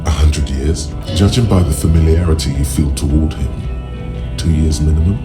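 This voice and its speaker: An extremely deep voice works as a game's inner narrator, brooding and sardonic. It speaks in measured, literary phrases with dry wit, over prominent music. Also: male voice, fairly even intonation. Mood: musing. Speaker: game narrator. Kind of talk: scripted narration. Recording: music behind the voice.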